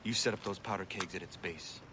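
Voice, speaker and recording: Low, stoic male warrior hero. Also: fairly quick, pushed and bright, slight background noise. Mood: resolute. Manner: terse, formal, stoic. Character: solemn, resolute male warrior hero on a mission. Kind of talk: video game voice acting.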